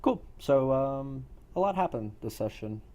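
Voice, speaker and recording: A man's voice: mid-range voice, animated, measured pace, mellow, a little hiss or hum. A man speaking casually as he runs a post-game chat for a roleplay group. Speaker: game master of an online tabletop-style roleplay group.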